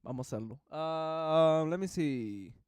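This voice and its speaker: A man hosting a livestream, reacting excitedly and switching between English and Spanish, with English as his first language. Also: on the high side, takes it slowly, smooth, no echo, clean studio sound. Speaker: livestream host. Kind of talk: casual reaction commentary.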